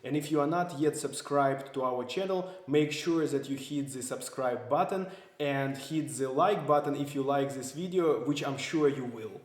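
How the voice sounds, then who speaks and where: Male agency host, mid-pitch, upbeat promo read, roomy echo. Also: normal speed, hardly any pauses. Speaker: marriage agency manager. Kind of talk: scripted channel intro.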